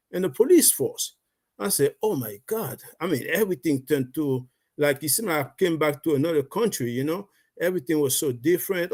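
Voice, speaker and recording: A man's voice: a little high, a little mellow. A middle-aged man from Haiti with a Haitian accent, a guest telling his life story in a rambling, casual way with many fillers. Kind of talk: casual live-stream storytelling.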